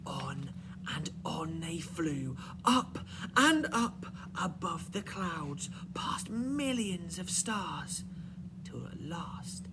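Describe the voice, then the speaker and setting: A man's voice: a little high, very animated, very slow. A man reading a children's story aloud as a primary teacher, expressively, with questions to young listeners. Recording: clearly noisy background.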